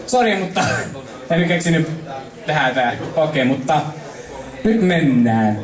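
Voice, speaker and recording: Man, Finnish accent, amateur story reader, animated intonation, echoey room, distant mic. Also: lowish voice. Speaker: amateur fan fiction reader. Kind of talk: story reading to a small group.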